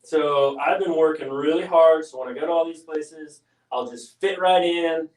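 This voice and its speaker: A man's voice: a little high, moderate pace, darkish tone. A man co-hosting, reading out viewer comments and joking in a goofy, self-deprecating way. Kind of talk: playful banter. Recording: roomy sound from a distance.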